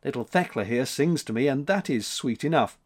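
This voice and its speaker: A man's voice: medium pitch, animated, a little fast, natural voice. A man voicing a stubborn, cheeky little boy with a bold manner in a storybook reading. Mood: bargaining. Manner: bold, bargaining.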